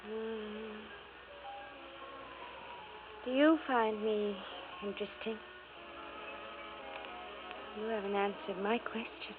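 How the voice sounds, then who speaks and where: A woman's voice: mid-range voice, steady tone, slow pace, pauses often, natural voice. A woman playing a coy, seductive society wife in golden age radio drama. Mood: hushed, coy. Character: flirtatious, alluring society wife with hidden motives. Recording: old radio recording, phone-like band. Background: music.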